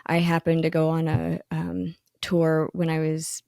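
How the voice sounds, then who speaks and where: A woman with a low, slightly gravelly voice talks in an easygoing, enthusiastic way, stopping often for ums and fresh starts. The sound is close and dry. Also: completely flat delivery, measured pace, warm tone, no echo. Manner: chatty and enthusiastic, many ums and restarts. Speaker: magazine editor, co-host. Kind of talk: casual podcast conversation.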